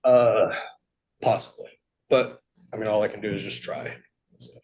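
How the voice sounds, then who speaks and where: A man giving unscripted testimony to a judge, speaking in a halting, polite way. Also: lowish voice, steady tone, darkish tone. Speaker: petitioner testifying in court. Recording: dull, lo-fi courtroom audio.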